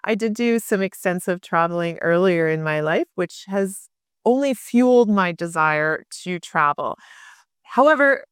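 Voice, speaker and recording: Canadian woman in her forties, smooth voice. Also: lowish voice, animated, unhurried, no room sound, clean studio sound. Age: 40s. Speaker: podcast co-host. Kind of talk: casual chat.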